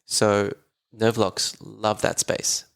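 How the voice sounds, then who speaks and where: A man's voice: lowish voice, level tone, very slow, creaky, clean tone. A man, a voice therapist talking as a guest in a casual podcast conversation, enthusiastic and chatty with frequent laughs.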